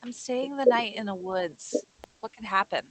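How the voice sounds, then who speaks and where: A woman's voice: on the high side, normal speed, dry recording, natural voice, rough recording. A woman playing a sarcastic young woman who puts on bravado, in a horror script table read. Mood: sarcastic, curious. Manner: sarcastic, conversational.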